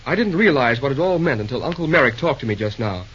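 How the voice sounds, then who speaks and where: An actor playing an earnest, awkward, plainspoken young railroad man in old-time radio drama, halting and sheepish, on an old recording. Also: medium pitch, animated, fairly bright tone, muffled recording, slight background noise, lightly acted.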